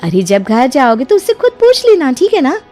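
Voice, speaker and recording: A woman's voice: high-pitched, wildly swooping pitch, normal speed, mellow. A woman voicing a clever young daughter-in-law in a cartoon moral story, sweetly persuasive and emphatic. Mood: bright, urging. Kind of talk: animated Hindi moral story dialogue. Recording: music behind the voice.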